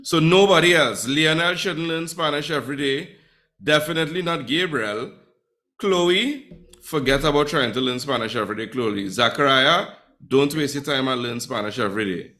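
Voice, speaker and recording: A man's voice: fairly high pitch, moderate pace, bright, forceful tone. A man teaching a live online Spanish class, chatty and teasing with his students.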